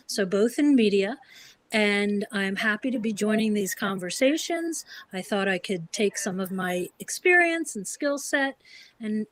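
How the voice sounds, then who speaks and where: A woman co-host with a media background, introducing herself on a video call in a measured, composed way. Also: medium pitch.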